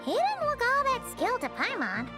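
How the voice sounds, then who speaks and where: A squeaky tiny fairy companion. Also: female voice, wildly swooping pitch, normal speed, heavily acted. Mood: chirpy. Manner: talks about herself in third person. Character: tiny floating fairy companion, chirpy and blunt. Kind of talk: video game voice acting. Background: game music.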